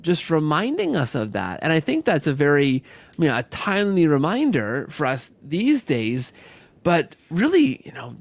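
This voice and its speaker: A man's voice: fairly high pitch, wildly swooping pitch, normal speed, creaky, no echo. A man hosting a conversational podcast interview, talking earnestly in rambling sentences and repeating phrases as he goes. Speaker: podcast host.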